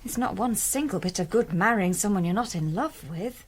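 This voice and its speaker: An actress playing an outspoken, headstrong married farm woman in a radio drama, homely and expressive. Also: medium pitch, normal speed, close to an everyday voice. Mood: earnest. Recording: clear background noise of scene ambience.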